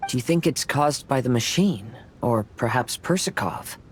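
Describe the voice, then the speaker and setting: A man voicing a calm, gentle young wandering samurai in a video game, soft-spoken and thoughtful. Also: mid-range voice, normal speed, slight background noise, close to an everyday voice.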